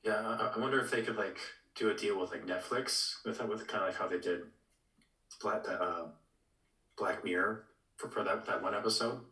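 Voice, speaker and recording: A man co-hosting a podcast, giving opinions in casual chat with halting, repeated words. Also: lowish voice, steady tone, bright, forceful tone. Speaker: podcast co-host. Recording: echoey room, distant mic.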